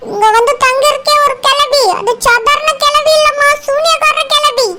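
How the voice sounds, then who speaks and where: A woman's voice: extremely high pitch, fairly even intonation, normal speed, sharp tone. A woman voicing a frightened, secretive injured girl in a cartoon, with a Kanyakumari Tamil accent. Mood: earnest. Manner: fearful, whispering to herself. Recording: echoey, distant, very noisy.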